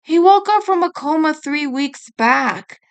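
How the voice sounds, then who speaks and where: A woman's voice: high voice, lively intonation, unhurried, pitched above the performer's own voice. A woman voicing a stubborn, protective teenage male turtle ninja, the blunt older brother, in a fan story reading. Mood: firm.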